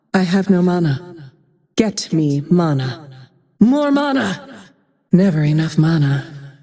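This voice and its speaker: An actress playing a cold, bitter, vengeful female archer in a video game, breathy and husky, with a grim, disdainful, deliberate delivery. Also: mid-range voice, dark tone. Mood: frustrated. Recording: long cavernous reverb.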